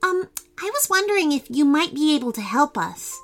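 A woman's voice: high-pitched, very animated, normal speed, faint music behind, voice pushed up. A woman voicing a polite, friendly young mermaid in a storybook, a little hesitant.

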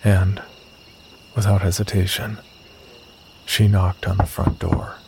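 A man playing the uneasy, cautious narrator of a scripted horror story, telling it in a gravelly voice. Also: low voice, level tone, slow, very noisy, full character voice. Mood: tense, measured. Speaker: first-person narrator and lead character.

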